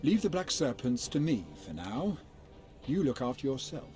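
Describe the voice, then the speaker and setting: Man as a cautious mentor, wry, knowing, animated pitch, game cutscene, music behind. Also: normal speed, close to an everyday voice. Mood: watchful, cautioning. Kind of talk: video game cutscene acting.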